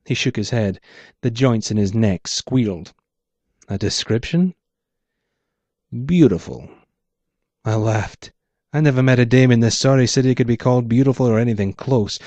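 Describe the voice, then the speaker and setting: A man's voice: medium pitch, moderate pace, darkish tone, dry recording. A man, the author, reading his own first-person noir story aloud in a hardboiled manner.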